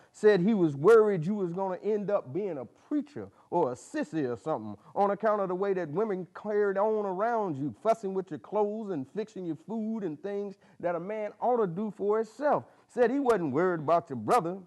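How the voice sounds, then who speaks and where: A man performs a gruff old mentor in a dramatic story reading. The voice sits well above the reader's own, with folksy, plain-spoken phrasing and a blunt, instructive temper. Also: high-pitched, darkish tone, voice pushed up. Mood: reminiscing.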